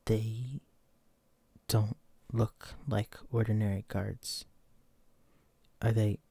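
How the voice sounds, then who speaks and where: A man's voice: lowish voice, fairly even intonation, extremely slow, creaky, warm tone, breathy edge, no echo, heavily acted. Man acting a wary, protective psychic escapee. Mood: wary, somber. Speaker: voice actor in an audio drama. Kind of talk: immersive roleplay audio drama, addressing the listener.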